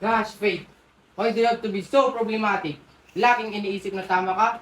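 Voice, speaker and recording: A woman's voice: mid-range voice, fairly even intonation, brisk, lightly acted. A woman with a Filipino accent playing a defensive, exasperated husband in an amateur school play. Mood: exasperated, angry. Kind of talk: amateur school stage acting in Taglish. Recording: echoey room, faint noise.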